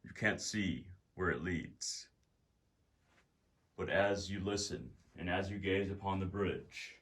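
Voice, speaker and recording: A man narrating a guided meditation as its guide, in deliberate, measured phrases with pauses. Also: low voice, fairly bright tone, slightly rough.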